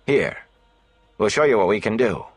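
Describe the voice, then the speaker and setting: Man as a firm, wary young traveler, low-voiced. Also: lively intonation, moderate pace, husky, no room sound, faint music behind. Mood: firm, bargaining. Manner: level, firm, guarded.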